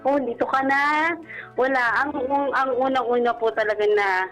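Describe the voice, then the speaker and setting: A woman's voice: high voice, fairly even intonation, normal speed, a bit bright, faint music behind, rough recording. A Filipino woman speaking as a radio show caller, telling her personal story in a candid, chatty way. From Philippines.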